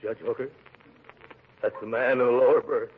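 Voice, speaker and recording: A man's voice: medium pitch, steady tone, moderate pace, close to an everyday voice. Man playing a blustering uncle on tinny old radio. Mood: dismayed. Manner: blustering, stammering, chuckling. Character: pompous, blustering middle-aged uncle, a girdle-factory owner. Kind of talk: 1940s radio comedy acting. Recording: muffled, noisy 1940s radio recording.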